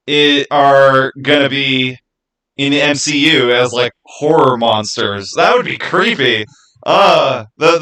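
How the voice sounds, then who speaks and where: A man hosting a livestream, chatting casually and answering viewers in an informal, opinionated, halting way that often repeats itself. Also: fairly high pitch, bright, forceful tone. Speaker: livestream host.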